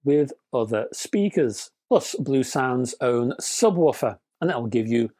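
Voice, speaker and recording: Guy doing a hi-fi news roundup, mid-pitched, dry wry jokes between specs, chatty with the folks watching. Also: lively intonation, slow pace, warm tone. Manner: dry, wry humor between spec readouts; chatty, addresses viewers as folks. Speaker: hi-fi news channel host. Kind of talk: scripted news roundup with asides.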